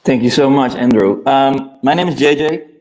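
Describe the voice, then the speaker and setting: A man from the Netherlands, a native Dutch speaker in his thirties, introducing himself and his company at a launch panel in a relaxed, friendly way. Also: medium pitch, moderate pace.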